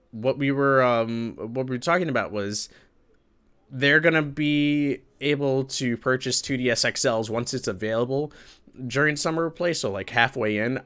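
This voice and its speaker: A man, hosting a livestream and chatting casually with viewers in an animated, colloquial way. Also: mid-range voice. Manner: animated, colloquial, reacting to chat. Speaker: livestream host. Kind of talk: casual chat with live viewers. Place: indoor room with green screen.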